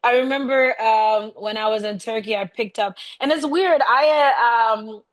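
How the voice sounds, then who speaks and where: A woman casually telling stories in conversation, animated and often giggling as she talks. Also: a little high, normal speed. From raised by a Haitian family. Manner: animated, giggly, laughs often while talking. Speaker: interview guest, bike traveler.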